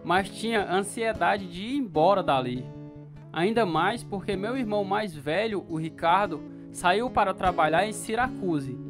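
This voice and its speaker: Reflective narrator over guitar music. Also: male voice, sits high, lively intonation. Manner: reflective, measured. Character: grown man looking back on his hard childhood. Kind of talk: scripted dramatized narration. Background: plucked guitar music.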